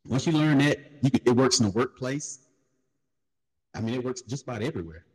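A man in a casual panel talk, laid-back and storytelling, who jumps into loud high mocking voices when he quotes people. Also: a little fast, slightly rough. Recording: long hall reverb.

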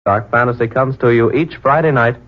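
A man's voice: mid-range voice, brisk. A man with an American accent making a formal 1940s radio announcement. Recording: dull 1940s radio recording.

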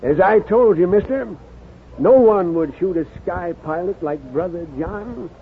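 An actor playing a chatty, folksy cafe swamper in an American 1950s radio western, with a garrulous rustic manner. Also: male voice, high-pitched, unhurried. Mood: gossipy, amused. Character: chatty, folksy old-West cafe swamper, gossipy man. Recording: muffled, noisy 1950s radio recording.

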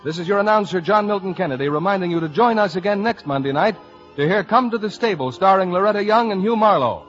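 A man's voice: high voice, animated, quick pace, soft background music. A man announcing in a scripted 1950s radio advertising style. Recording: dull vintage radio broadcast recording.

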